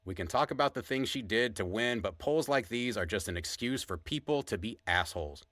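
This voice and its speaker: A man's voice: lowish voice, expressive, fairly quick, a bit bright, no echo, studio quality. A man acting as a blunt, exasperated podcast co-host in a conversational audio drama. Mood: indignant. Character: Sonny, fed-up, indignant male podcast co-host.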